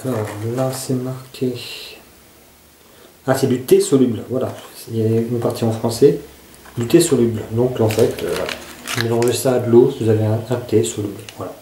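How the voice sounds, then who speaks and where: A man's voice: medium pitch, steady tone, normal speed, warm tone, slight background noise. A man casually reviewing survival gear to camera. He often hesitates and searches for his words. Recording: echoey room.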